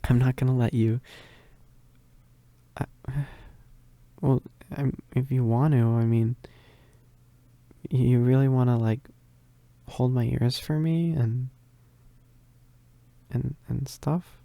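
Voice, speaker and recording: Needy, bashful male werewolf roommate for ASMR, acted by a man: dark soft tone, creaky, halting. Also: mid-range voice, steady tone, dry recording. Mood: flustered, grateful. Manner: hesitant, stammering, bashful with soft chuckles. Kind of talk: intimate ASMR roleplay.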